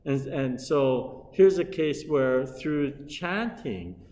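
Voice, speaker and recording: A middle-aged man delivers a Buddhist dharma talk at a mid-range pitch, unhurried and hesitant, often pausing and restarting his sentences. His voice carries a long reverb from a temple hall. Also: lively intonation, warm tone. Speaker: Buddhist minister. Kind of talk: dharma lecture.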